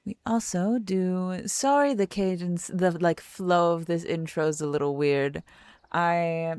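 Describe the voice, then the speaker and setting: Slow, low-voiced chatty woman streamer. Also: darkish tone, clean tone, no echo. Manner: rambling, self-correcting, chatty. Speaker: game streamer. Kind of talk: casual let's-play chat and reading game text aloud.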